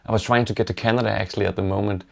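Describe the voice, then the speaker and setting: A man with a Danish accent, a native Danish speaker, casually and reflectively telling stories in an interview with halting pauses. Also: fairly low pitch, steady tone, creaky. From Denmark.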